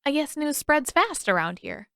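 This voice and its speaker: A woman voicing an excitable, boastful young teenage boy in an audiobook, exuberant and eager. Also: high-pitched, normal speed, no echo, clean studio sound, voice pushed up, exaggerated voice. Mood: cheerful. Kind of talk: audiobook character voice.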